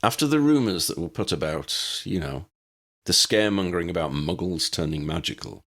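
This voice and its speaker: A man voicing a serious, cautious adult wizard hero in a storybook, measured and worried. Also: low-pitched, animated, fairly bright tone, full character voice. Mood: doubtful. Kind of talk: storybook character acting.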